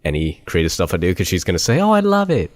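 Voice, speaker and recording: A man hosting a podcast interview, relaxed and self-deprecating, hesitating with fillers. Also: low voice, fast talker. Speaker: podcast host. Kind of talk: casual interview chat.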